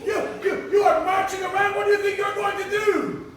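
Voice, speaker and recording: Very high-pitched shouting taunting guard. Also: male voice, little pitch movement, normal speed, a crowd in the background. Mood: scornful, mocking. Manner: loud, shouting, mocking. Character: silly taunting wall guard of Jericho, mocking and cocky. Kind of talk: puppet show comedy for children. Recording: echoey large hall, far from mic, audience.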